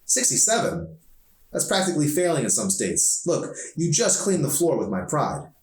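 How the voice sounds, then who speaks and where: A man's voice: on the high side, very animated, moderate pace, voice pushed up. A man voicing an anxious, defensive, awkward teenage boy from a novel, in an echoey recording. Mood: indignant. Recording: echoey room, distant mic.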